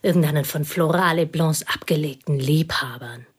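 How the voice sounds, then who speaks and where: An actress playing a plain, devoted woman who confesses intimately to a pianist in a radio play, her voice husky and often whispered. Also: low voice, moderate pace. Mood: intense. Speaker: confiding companion.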